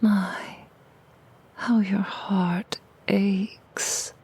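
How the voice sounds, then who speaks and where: A woman whispering as a cruel, raspy demoness. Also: medium pitch, fairly even intonation, a little mellow, voice pushed up, heavily acted. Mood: cruel taunting. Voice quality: breathy whispering. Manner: slow, mocking, intimate taunts. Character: seductive cruel female demon, taunting and manipulative. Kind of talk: ASMR roleplay.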